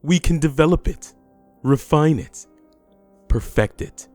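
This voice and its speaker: A man playing an imperious, impatient, scheming official with a commanding, smooth-talking menace in an audio drama. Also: a little high, unhurried, darkish tone, soft background music, close to an everyday voice. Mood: scheming, persuasive. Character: imperious, impatient, scheming male government schemer with metal arm.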